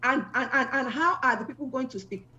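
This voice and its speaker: A woman answering as a panel guest, halting and full of fillers. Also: high-pitched, bright, forceful tone, highs cut off. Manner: halting, many fillers and restarts.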